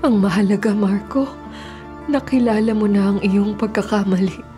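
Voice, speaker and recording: An actress playing a gentle, forgiving wife in a Filipino radio drama, tender and earnest. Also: female voice, mid-range voice, normal speed, over music, close to an everyday voice. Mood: tender relief.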